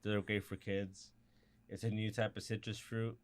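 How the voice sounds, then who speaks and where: A man's voice: fairly low pitch, level tone, normal speed. A man chatting casually on a beer podcast, stumbling and repeating himself and cracking jokes. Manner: stumbling, repeats words, jokey. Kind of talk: casual chat.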